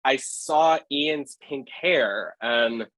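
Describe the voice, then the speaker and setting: A man's voice: mid-range voice, extremely slow, a bit bright, no echo. A man from Hawaii, a street photographer, chatting as a podcast guest, rambling with lots of fillers. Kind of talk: casual podcast chat.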